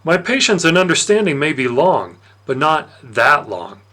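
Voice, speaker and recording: A man voicing a plump, jovial god of wine and parties with menace underneath, in a theatrical, condescending storybook manner with drawn-out emphasis. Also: fairly high pitch, sing-song, pushed and bright, pitched above the performer's own voice, exaggerated voice. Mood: stern warning.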